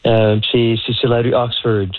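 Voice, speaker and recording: Guest: man in his 60s from Moncton, halting and filler-heavy, heard over a thin, dry phone line. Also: mid-range voice, flat delivery, no echo. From Moncton, Canada. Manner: hesitant, many fillers and restarts. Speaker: guest, pickleball coach. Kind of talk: radio phone interview.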